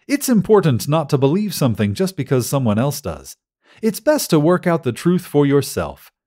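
A man voicing a formal robot guide, lively pitch. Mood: courteous, patient. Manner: formal, measured. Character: Gort, courteous, patient metal robot museum guide.

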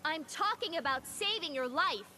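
A woman's voice: high-pitched, lively intonation, normal speed, bright, forceful tone, slight background noise. A woman playing an anxious, earnest teenage girl in a fantasy video game, with a pleading manner. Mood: insistent. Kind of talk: video game cutscene acting.

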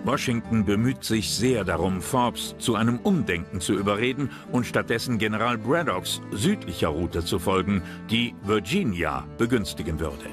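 A man's voice: on the low side, moderate pace, few pauses. A man reading scripted narration for a history documentary. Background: background music.

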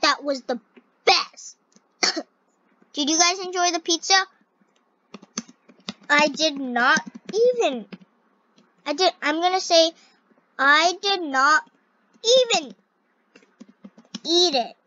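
A young female gamer with a scratchy voice, commenting on a game and reading its dialogue aloud in an excitable, halting way. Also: sits high, no echo. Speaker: gaming video host. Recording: dull, lo-fi close microphone.

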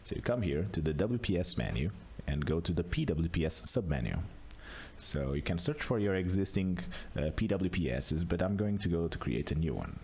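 A man narrating an unscripted screencast tutorial as a software demonstrator, explaining casually step by step. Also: deep voice, lively intonation, moderate pace, a little hiss or hum.

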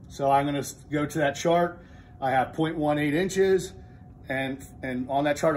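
A man's voice: on the high side, a little hiss or hum. A middle-aged man teaching HVAC in a training room, explaining step by step with plain, methodical talk and frequent restarts. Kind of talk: hands-on technical demonstration. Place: indoor training room with a furnace.

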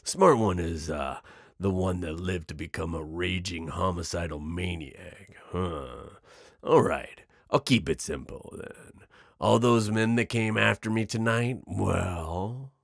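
A man playing an amused, flirtatious and menacing werewolf in an intimate roleplay audio drama, gravelly and husky, drawling and teasing. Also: low-pitched, unhurried, dry recording, studio quality, exaggerated voice. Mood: sly. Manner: drawling, teasing, with breathy chuckles and hesitant asides.

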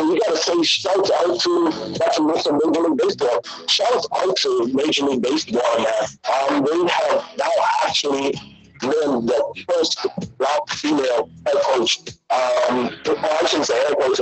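A man's voice: fairly high pitch, very animated, measured pace, loud music behind the voice, poor audio. A man from the Bahamas co-hosting a morning sports radio show, reading scores with many fillers over a video call. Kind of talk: radio sports news rundown. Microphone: headphones on a video call.

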